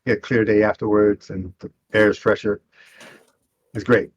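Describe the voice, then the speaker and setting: A man from Northern California chatting on a podcast, relaxed and halting, with many fillers. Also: lowish voice, husky. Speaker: podcast co-host, executive producer. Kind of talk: casual podcast chat.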